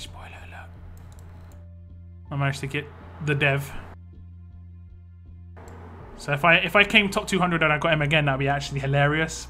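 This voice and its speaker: Bright-voiced man chatting on stream. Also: medium pitch. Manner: chatty, reads viewer comments aloud and answers them. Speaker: live streamer. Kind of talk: casual live stream chat while playing a mobile game.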